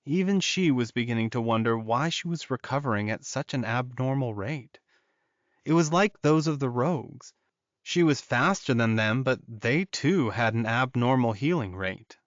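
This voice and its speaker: A man's voice: mid-range voice, no room sound. A man narrating scripted fiction as an audiobook reader, in a slightly muffled recording.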